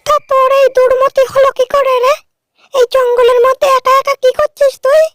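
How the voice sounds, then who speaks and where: Squeamish cartoon demon wife, super high voice, stretches her words, dry sound. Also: little pitch movement, normal speed, no echo. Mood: mocking. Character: vain, squeamish ogress wife who loves her own stink. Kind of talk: cartoon story voice acting.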